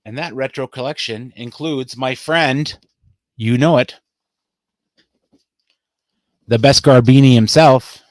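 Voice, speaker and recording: A man's voice: mid-range voice, measured pace, dry recording. Chatty man in a dry room. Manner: chatty and upbeat, playful asides. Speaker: vlog host showing his collectibles. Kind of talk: casual show-and-tell chat to camera.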